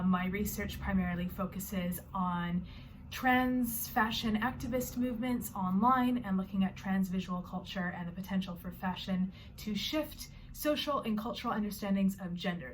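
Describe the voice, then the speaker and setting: A young woman with a Canadian accent talks to camera from her bedroom, casual and explanatory, dropping in "uh" and "so" as she goes. Her voice sits in a middle register, and faint background noise runs under it. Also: mid-range voice. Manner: friendly, explanatory, loose with filler words. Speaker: vlogger and organization founder. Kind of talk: casual talking-to-camera vlog. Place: own bedroom.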